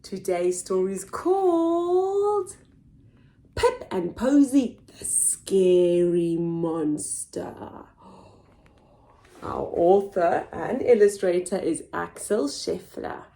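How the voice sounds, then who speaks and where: A woman hosting a children's story time, reading aloud in an animated, expressive, theatrical way for very young listeners. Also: mid-range voice, drawn-out words. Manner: expressive, theatrical, with gasps and whispers. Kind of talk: animated read-aloud for toddlers.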